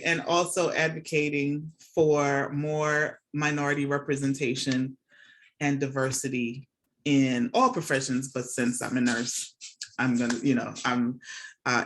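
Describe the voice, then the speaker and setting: A woman's voice: sits low, a bit bright. A middle-aged woman from Jamaica who moved to the States as a child, chatting casually as a podcast guest and often checking in with the listener. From born and raised in Jamaica, moved to the United States as a child. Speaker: podcast guest, nurse and academic. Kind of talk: casual conversational interview answers. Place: remote video call from home.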